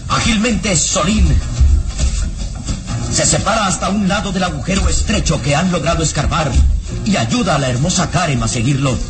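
A man's voice: a little high, normal speed, music in the background, natural voice. A man narrating a radio serial in a grandiose, suspenseful, dramatic scripted style.

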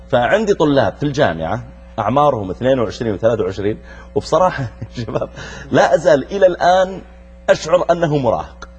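A man's voice: mid-range voice, muffled recording. A middle-aged man from Arabia, a preacher hosting a youth show who talks to a young audience and asks them questions. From Saudi Arabia. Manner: engaging, addresses listeners directly, asks them questions. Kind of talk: lively talk to a young audience.

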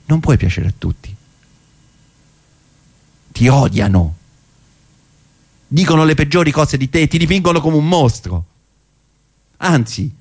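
A man performing a theatrical narrative monologue in a conversational, ironic manner with rhetorical pauses. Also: medium pitch, darkish tone. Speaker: stage actor and monologist.

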